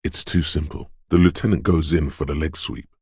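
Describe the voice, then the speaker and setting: Detective's inner instinct, video game narration, rumbling low male voice, measured, knowing, quick-witted, dramatic, dry studio sound. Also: steady tone, a little mellow, slightly rough, no room sound, heavily acted. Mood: alert, suspicious. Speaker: voice actor. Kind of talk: second-person game narration.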